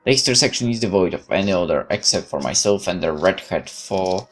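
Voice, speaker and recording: A man's voice: deep voice, lively intonation, a little fast, faint music behind. A man reading a visual novel aloud as a let's play host, with informal muttered asides and interruptions to himself.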